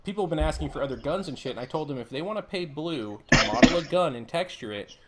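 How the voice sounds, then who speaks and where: A man's voice: a little high, lively intonation, fast talker, very noisy. A man talking in a loose group chat on a live stream, blunt and profane as he rants. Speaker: mod author hosting a live stream.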